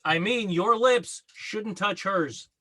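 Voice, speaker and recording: A man's voice: high voice, slow, bright, forceful tone, heavily acted. A man playing a grumpy, bossy best friend who gives blunt advice in a sitcom table read. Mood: stern advising. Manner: blunt, exasperated, emphatic. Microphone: video call.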